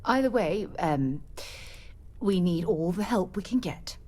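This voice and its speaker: A woman playing an earnest, thoughtful leader of space explorers in a video game, measured and slightly reserved. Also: lowish voice, expressive, mellow. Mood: warmly awkward. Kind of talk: video game dialogue acting.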